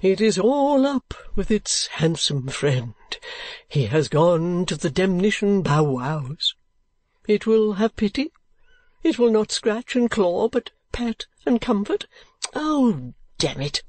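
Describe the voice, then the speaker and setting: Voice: medium pitch, very animated, slow, a little mellow, no room sound, pitched above the performer's own voice. A narrator voicing a foppish, cowardly, melodramatic husband who cajoles with affected baby-talk endearments in an audiobook. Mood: pleading, simpering. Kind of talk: audiobook character acting.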